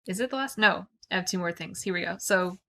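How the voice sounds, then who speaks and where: A woman's voice: fairly low pitch, quick pace, creaky, a bit bright. A woman co-hosting a podcast in casual book discussion, with wry, mocking commentary. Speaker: podcast co-host.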